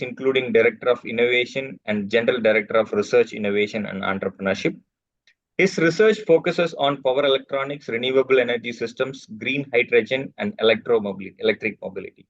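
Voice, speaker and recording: A man's voice: mid-range voice, no echo. Man reading an introduction. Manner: reads out credentials in measured bursts. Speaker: host introducing a guest speaker. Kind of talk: formal reading of a speaker introduction. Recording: dull, dry online call audio.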